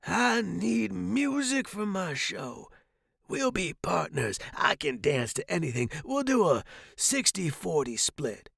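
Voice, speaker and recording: A man's voice: high-pitched, sing-song, slow pace, gravelly, slightly rough, dry recording, studio quality, voice pushed up, exaggerated voice. A man voicing a brash, boozy, cantankerous old street performer with slangy, blustering speech, in a story reading. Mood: pitching, cajoling. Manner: slangy, blustering, loud outbursts.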